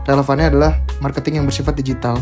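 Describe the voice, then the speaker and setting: A man in his twenties, a podcast guest, explaining his views in a measured, casual way with examples. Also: mid-range voice, little pitch movement. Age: 20s. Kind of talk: casual chat. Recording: music playing behind.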